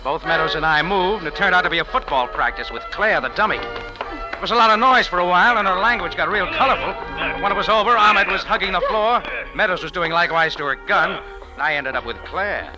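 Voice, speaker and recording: An actor playing a tough, sardonic American cafe owner and amateur sleuth in 1940s radio noir style. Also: male voice, high voice, a little fast, close to an everyday voice, rough recording. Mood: hardboiled narrating. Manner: hardboiled, sardonic, dry. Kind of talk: 1940s radio noir acting and first-person narration. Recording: old radio recording with music behind.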